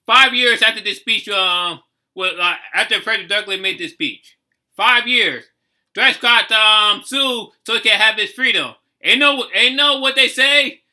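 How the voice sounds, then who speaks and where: An American man giving casual, unscripted reaction commentary, halting and emphatic, with some swearing. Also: sits high, sharp tone. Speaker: reaction video host.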